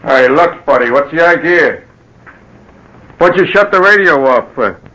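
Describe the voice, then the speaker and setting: An actor in an old-time radio drama playing a blunt, suspicious city bartender who talks in slang. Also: male voice, a little high, expressive, unhurried, pushed and bright, full character voice, rough recording. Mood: threatening.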